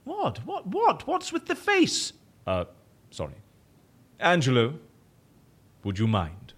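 A man's voice: a little high, wildly swooping pitch, slow pace, fairly bright tone, husky, full character voice. A man playing an eccentric, fussy, cocoa-loving boss in an audio comedy, theatrical, exclamatory and wordy. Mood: flustered. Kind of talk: audio comedy drama acting.

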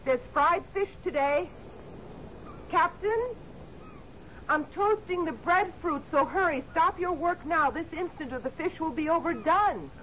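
An actress in a radio drama playing a cheerful, bossy woman in her twenties keeping house on an island, brisk and coaxing. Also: very high-pitched, expressive, a bit bright, full character voice. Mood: bright, bustling. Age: 20s. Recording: dull, noisy 1970s radio recording.

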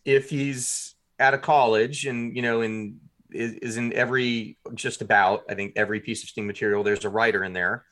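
A man being interviewed as a guest, telling stories casually in a self-deprecating, animated way with quoted asides. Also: mid-range voice, moderate pace.

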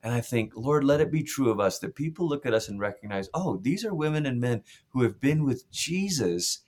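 A man's voice: medium pitch, expressive, fairly quick, darkish tone. A middle-aged pastor from Malaysia, speaking earnestly and reflectively in a conversational interview, often restarting his phrases. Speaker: pastor and author.

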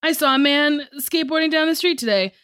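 A woman co-hosting a podcast in casual chat, slightly tipsy from drinking, frank and swearing freely with animated asides. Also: high-pitched, fast, dry recording, clean studio sound. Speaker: podcast co-host.

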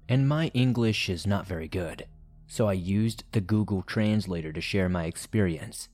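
Male narrator for scary first-person stories, lowish register, deliberate scripted read with soft music underneath. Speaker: narrator of listener-submitted horror stories. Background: background music.

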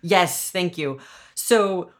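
A woman's voice: fairly low pitch, extremely slow. A woman podcast host chatting informally and enthusiastically, with a wry, effusive manner. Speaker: podcast host and audio drama creator. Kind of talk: casual enthusiastic interview chat.

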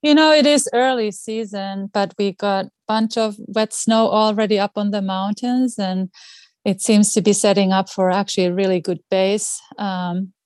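A middle-aged woman with a Finnish accent talks casually as a podcast guest. Her voice sits at an average pitch, in a dry recording free of room echo. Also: steady tone, moderate pace, darkish tone. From Finland. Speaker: podcast guest, outdoor educator. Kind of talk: casual interview chat.

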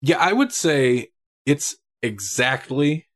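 A man's voice: medium pitch, lively intonation, slow. A man hosting a casual film discussion on a podcast. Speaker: podcast host.

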